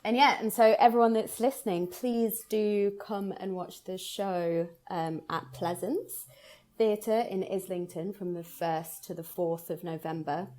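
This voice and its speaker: A woman hosting a conversation as a theatre producer, addressing listeners in an informal, softly hedged promotional chat. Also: mid-range voice, fairly even intonation, a little slow.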